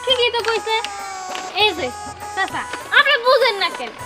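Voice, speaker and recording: A woman playing a teasing young relative who lectures an uncle. Her voice is very high and swings lively. Loud music plays behind her. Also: normal speed. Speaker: young relative giving advice in a comic drama. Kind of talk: comic village drama acting.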